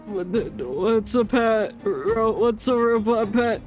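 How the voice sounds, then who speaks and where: Unhurried woman streaming a game. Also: on the high side, a little mellow, faint music behind. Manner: playful, mock-dramatic asides. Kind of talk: casual live game commentary.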